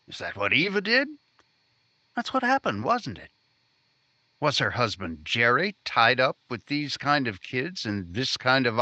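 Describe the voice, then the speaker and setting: Hard-nosed reporter hero male actor, vintage radio crime drama: emphatic, forceful, hugely expressive pitch. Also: dry recording, exaggerated voice. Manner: emphatic, probing, punchy.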